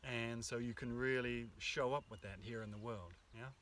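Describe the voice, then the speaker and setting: A man co-hosting a workshop, talking in a calm, reflective and hesitant way, recorded outdoors in the countryside. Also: medium pitch, moderate pace, recorded from a distance, a little hiss or hum. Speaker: workshop co-host. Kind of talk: casual spiritual talk. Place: outdoors in the countryside under an oak tree.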